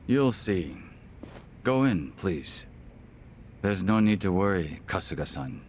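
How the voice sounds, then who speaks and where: An actor playing a polite, coolly menacing gangland henchman in an English video game dub, speaking in a formal, smug, measured manner. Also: low-pitched, very animated, slight background noise, full character voice. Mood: smooth, smug.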